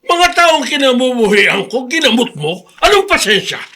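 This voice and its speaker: Cranky old filipino grandpa in a radio drama, piercing high voice, scolding, holds a grudge. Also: male voice, sing-song, moderate pace, pushed and bright, heavily acted. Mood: furious scolding. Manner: scolding, indignant. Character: angry, stubborn old grandfather holding a family grudge.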